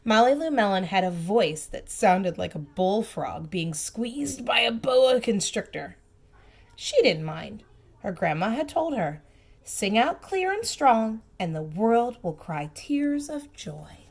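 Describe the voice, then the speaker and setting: A woman reading a storybook aloud to young children, expressive and emphatic, with simple asides and questions for the listeners. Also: medium pitch, creaky, husky. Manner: expressive, slow emphatic phrasing, simple child-directed comments. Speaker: preschool teacher reading aloud.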